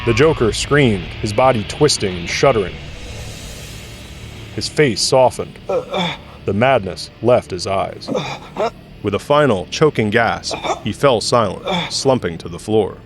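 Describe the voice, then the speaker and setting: A man's voice: on the low side, animated, unhurried. A man reading scripted dramatic narration for an audio drama. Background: music.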